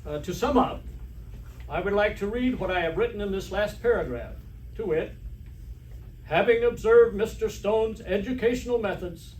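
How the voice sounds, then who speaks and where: Man playing a high-pitched blustery deaf principal, echoey. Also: normal speed, a little mellow, close to an everyday voice. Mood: oblivious. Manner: pompous, blustery. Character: blustering, hard-of-hearing male school principal. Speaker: amateur stage actor. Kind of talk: old-time radio comedy acting. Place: live stage performance in a hall. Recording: echoey hall, distant mic, faint noise.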